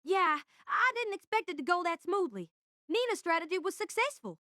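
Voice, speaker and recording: Woman as good-natured young male mechanic, audio drama, boyish, very high and animated. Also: moderate pace, no room sound, studio quality, heavily acted. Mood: agreeable.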